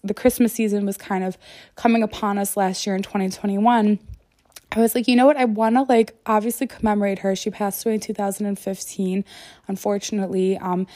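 A woman's voice: medium pitch, mellow, no room sound, studio quality. A woman hosting a podcast solo in a casual, earnest, conversational way, peppered with "like" and "you know", her voice not quite back to normal after being sick. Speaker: podcast host.

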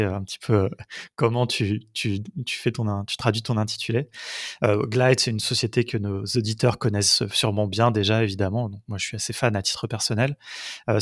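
A man's voice: on the low side, dry recording, clean studio sound. A man hosting a podcast, reading a scripted intro and then asking questions with fillers and restarts. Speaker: podcast host. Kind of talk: podcast interview.

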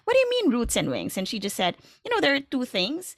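A woman's voice: on the high side, huge pitch swings, a little fast, no echo, clean studio sound. A woman with a Filipino accent hosting a podcast in a casual, conversational way, encouraging and affirming as she talks. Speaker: podcast host.

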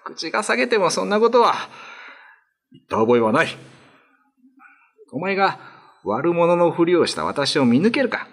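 A man's voice: a little high, big pitch swings, moderate pace, pauses often, pitched above the performer's own voice. A man playing a greedy, foolish, ambitious island lord in a radio drama, with pompous, old-fashioned lordly speech. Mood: flustered, evasive.

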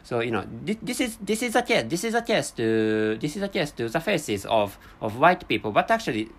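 A man with a Japanese accent, a native Japanese speaker, talking unscripted in a second language, halting and searching for words as he corrects himself. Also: fairly high pitch, very animated, a bit bright. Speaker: vlogger.

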